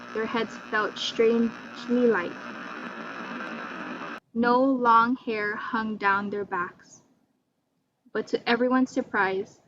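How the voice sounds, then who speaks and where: A woman with a fairly high voice narrates a folktale from a script. Her delivery is that of a dramatic storyteller. The sound is muffled and lo-fi, with a clear electrical hum underneath. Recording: dull lo-fi recording with loud mains hum.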